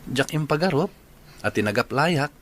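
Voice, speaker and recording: A man's voice: a little high, expressive, moderate pace, a little hiss or hum, natural voice. A man with a Filipino accent playing a polite, earnest young suitor in a radio drama. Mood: respectful. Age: young adult. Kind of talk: Philippine radio drama acting.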